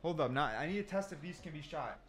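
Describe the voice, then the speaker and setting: Male gaming creator, animated, slightly high voice, casual think-aloud delivery with emphatic repetition. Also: fast talker, faint music behind. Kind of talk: casual gameplay commentary. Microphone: desk mic, sometimes turns away from it.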